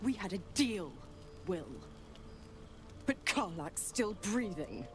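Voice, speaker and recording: A woman's voice: mid-range voice, very animated, slow pace, faint music behind. A woman voicing a cruel, mocking she-devil in a video game, drawing out her words with taunting condescension. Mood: imperious.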